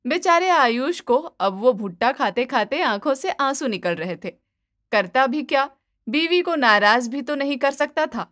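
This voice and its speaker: A woman's voice: high voice, animated, unhurried, fairly bright tone, no echo. Woman storyteller, dry close sound. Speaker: narrator. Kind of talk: scripted moral-story narration.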